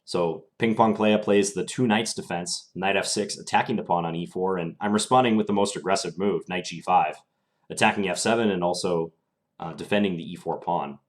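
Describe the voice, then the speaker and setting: A young man streaming live, commentating his chess games and answering viewer questions in a relaxed, thinking-aloud way, his voice slightly strained. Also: low-pitched, fairly quick.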